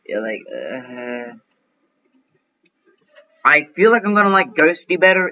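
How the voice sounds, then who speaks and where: A young man giving chatty, excitable commentary while playing a game, thinking out loud as he goes. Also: on the high side, highs cut off. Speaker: gaming youtuber. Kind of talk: casual gameplay commentary.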